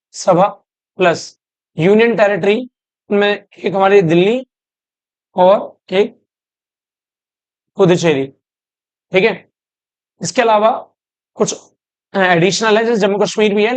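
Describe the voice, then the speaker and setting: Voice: mid-range voice, no room sound. A teacher giving an exam-prep lecture who asks and answers their own questions and repeats key terms word by word, with English terms and "guys" mixed in.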